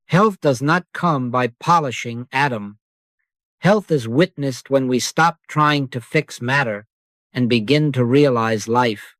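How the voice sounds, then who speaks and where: Man, spiritual teacher, guided meditation, hushed cadence, average pitch, dry sound. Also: no room sound. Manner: slow, hushed, scripture-laden cadence.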